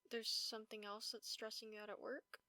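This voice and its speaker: A woman playing a caring vampire roommate in a comfort audio roleplay, speaking softly, gently and reassuringly. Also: a little high, flat delivery, a little fast, no echo. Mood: soothing. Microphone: close, dry microphone.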